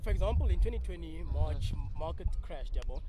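A young man calmly explaining an idea to a street interviewer outdoors, repeating a filler tag. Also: fairly high pitch, a little fast, very noisy. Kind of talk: casual explanation.

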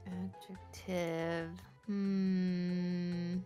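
A woman's voice: lowish voice, level tone, very slow, mellow, soft background music. A woman chatting casually as a player in a group word game. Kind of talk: casual chat.

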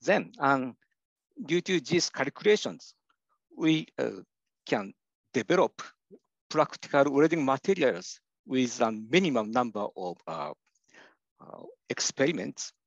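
Older male lecturer, Japanese accent, gravelly, halting delivery full of fillers; dry lo-fi sound. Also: medium pitch, animated, dry recording. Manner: hesitant, many "uh" fillers and restarts. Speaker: university professor giving a lecture. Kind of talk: slide lecture. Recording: dull lo-fi dry recording.

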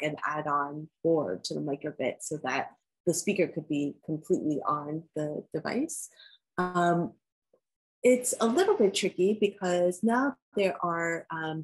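A woman's voice: on the low side, husky, low-quality recording. A woman researcher answering a question on a video call, explaining in a friendly way with some fillers. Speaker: presenting researcher. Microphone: laptop mic on a video call.

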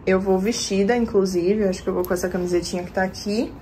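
A woman's voice: mid-range voice, fairly even intonation, quick pace, mellow. Young woman, lifestyle vlogger, chatty step-by-step walk-through, phone mic in a small bedroom with faint room noise. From Bahia, Brazil. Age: 20s. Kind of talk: casual vlog chat. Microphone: phone camera at arm's length.

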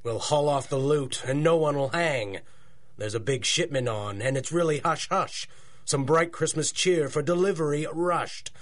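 Guy doing a villainous gangster boss for radio play, slightly high voice, greedy, tough slang, rhyming lines. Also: normal speed, natural voice. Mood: greedy, gloating. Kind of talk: rhymed radio drama acting.

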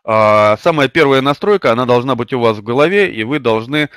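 An older man with a slight cold speaks into a desk mic in a small room, lecturing a webinar audience and pausing often on long hesitant fillers. His voice sits at an ordinary middle pitch. The sound is dry and close, with no room echo. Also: expressive, fairly bright tone. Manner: hesitant, many drawn-out fillers. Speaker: webinar host and publishing trainer. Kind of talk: webinar lecture with personal anecdote. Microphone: webcam or desk mic at a computer.

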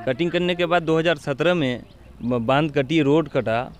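A man's voice: fairly high pitch, moderate pace. A young man, explaining things in a field report recorded outdoors on a handheld microphone. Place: outdoors on a village roadside. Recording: faint outdoor road noise, passing vehicles.

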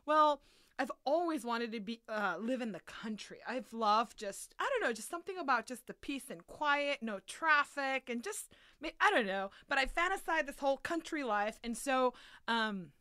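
Chatty woman with a bright, high voice. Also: normal speed, no room sound, studio quality. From South Korea and Los Angeles area. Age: 30s. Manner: chatty, animated retelling with quoted asides. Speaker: podcast guest, museum educator. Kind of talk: casual interview chat.

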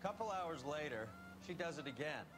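An actor playing a wisecracking, cynical 1940s police detective in a video game, with a dry, sardonic way of talking. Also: male voice, on the high side, expressive, moderate pace, close to an everyday voice. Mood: amused. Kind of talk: video game dialogue acting. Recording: game music behind.

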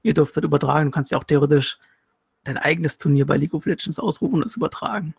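Fast-talking man, gravelly and husky. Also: fairly high pitch. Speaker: podcast panelist. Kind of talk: casual discussion of gaming news.

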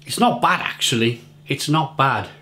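A man's voice: mid-range voice, animated, moderate pace, sharp tone. Chatty man reviewing beer at home. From England. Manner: chatty, repeats words while hunting for them. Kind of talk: casual beer review to camera. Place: home kitchen, indoors.

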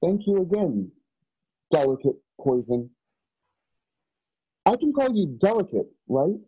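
An earnest young man from a radio drama, acted by a man, speaking in a fairly high, husky voice. He is anxious and hesitant, tripping over and repeating words. The sound is dry and a little dull, like a video call. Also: big pitch swings, unhurried, dry recording, full character voice. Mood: polite, eager. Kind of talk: online radio drama acting. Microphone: video call, home setup.

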